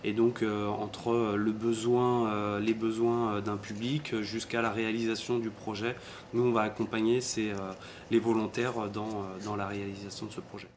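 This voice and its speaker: A man explaining a programme in an interview, halting often as he searches for words. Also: lowish voice, flat delivery, slight background noise. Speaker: volunteer programme coordinator. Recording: crowd chatter in background.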